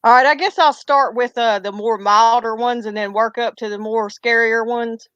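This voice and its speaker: A woman's voice: on the high side, steady tone, normal speed, a bit bright, clean tone, no echo. A woman, chatting casually and telling a rambling personal story. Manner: rambling, talks through restarts and hesitations. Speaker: co-host telling ghost stories. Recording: muffled lo-fi audio.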